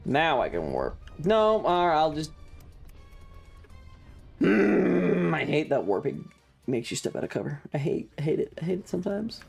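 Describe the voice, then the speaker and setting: Man, slightly high voice, casual gaming livestream, exasperated, sweary, dramatic, music behind. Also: very animated, a little slow, vocal fry, long pauses, slightly rough. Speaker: streamer. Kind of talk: casual video game livestream commentary, chatting with viewers. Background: video game music.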